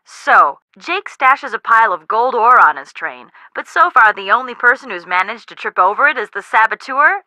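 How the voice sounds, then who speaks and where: Game role: teasing no-nonsense young woman, high piercing tone, dry studio sound. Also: big pitch swings, moderate pace, few pauses, pushed and bright, dry recording, exaggerated voice, poor audio. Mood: exasperated, skeptical. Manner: wry, sarcastic, blunt. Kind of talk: video game voice acting.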